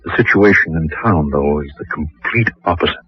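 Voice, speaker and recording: A man acting as a weary, worried husband who narrates a horror tale in a radio drama, with a husky, rough voice. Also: rumbling low, fairly quick, faint music behind. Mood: brooding, subdued. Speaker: narrator and lead actor. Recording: muffled, lo-fi vintage radio recording.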